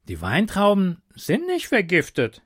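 Casting: a man putting on a gaunt, skeletal royal advisor, high and strained, lively up-and-down delivery. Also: unhurried, breathy edge, dry recording, clean studio sound, full character voice. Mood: puzzled.

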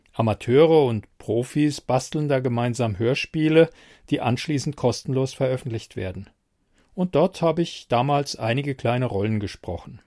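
Guy doing a podcast intro, mid-pitched voice, really dry close recording. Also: darkish tone, dry recording. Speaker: podcast host.

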